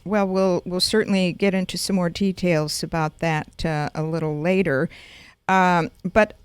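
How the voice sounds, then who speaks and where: A woman hosts a radio interview show, her voice smooth and fairly low. The sound is dry and close on a studio mic, and she phrases her questions deliberately, often going back over her words. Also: fairly even intonation, no room sound. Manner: deliberate, repeats words when asking.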